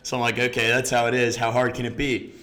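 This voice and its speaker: A man from Houston, a startup founder, telling his story in a relaxed interview, sprinkling in lots of "you know" and "um". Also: medium pitch, fast talker. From Houston, Texas.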